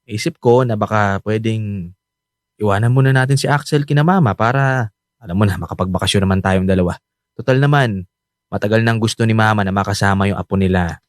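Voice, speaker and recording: A man's voice: fairly low pitch, fairly quick, dry recording, natural voice. A man acting an earnest young husband who feels neglected, with a Filipino accent, in a radio drama. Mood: coaxing. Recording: dry studio drama over background music.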